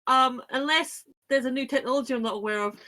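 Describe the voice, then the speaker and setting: A woman's voice: sits high, fast, sharp tone. A woman co-hosting a casual talk show and telling everyday anecdotes.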